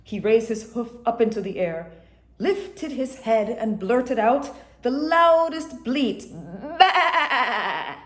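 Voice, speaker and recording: A woman reading a children's picture book aloud with an expressive storytelling manner. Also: a little high. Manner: expressive, slow, storytelling delivery. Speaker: storybook reader. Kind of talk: children's read-aloud narration. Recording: echoey room.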